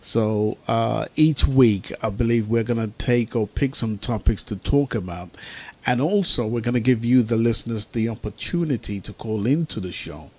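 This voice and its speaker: A man's voice: medium pitch, moderate pace, a little mellow. A man presenting an informal radio talk show as its host, speaking to listeners.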